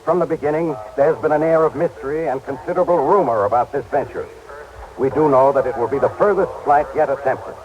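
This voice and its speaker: An actor playing a composed, factual on-the-scene news reporter in a vintage radio drama. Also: male voice, fairly high pitch, fast talker, lightly acted. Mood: composed, expectant. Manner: formal, factual. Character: brisk, composed male radio reporter at launch site. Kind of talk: 1950s-60s live radio news report. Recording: dull, noisy vintage radio broadcast.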